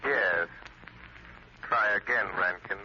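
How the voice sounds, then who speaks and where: Invisible ominous avenger from 30s radio drama, super slow n deliberate, commanding, dull noisy old recording. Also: male voice, fairly low pitch, bright, forceful tone, exaggerated voice. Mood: mocking menace. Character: invisible male avenger, ominous, mocking, commanding crime fighter. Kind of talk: 1930s radio drama acting.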